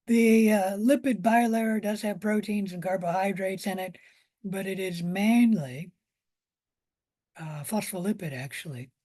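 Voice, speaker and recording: Female college lecturer, hoarse, unhurried, mid-pitched, informal explanatory delivery. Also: dark tone. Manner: unhurried explaining with pauses and repetition.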